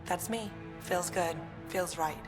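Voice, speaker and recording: Music plays under a low, reverberant voice: a determined female colony scientist in a sci-fi game. She talks with informal confidence and plain earnestness. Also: slow. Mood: earnest, proud. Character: adult woman, upbeat determined colony research scientist. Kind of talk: video game dialogue. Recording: long reverb, music behind.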